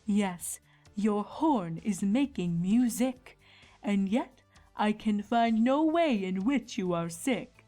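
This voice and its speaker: Woman playing a slow-talking, wise zebra herbalist. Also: medium pitch, animated, warm tone. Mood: thoughtful. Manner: measured, speaks in rhyming couplets. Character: rhyming female zebra herbalist, calm and wise. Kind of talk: dramatized fan fiction reading. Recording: music behind the voice.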